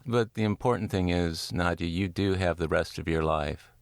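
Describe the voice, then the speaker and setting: An older man hosting a reflective interview, speaking in a measured, sympathetic way. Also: sits low, gravelly, no echo, studio quality. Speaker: interviewer, podcast host.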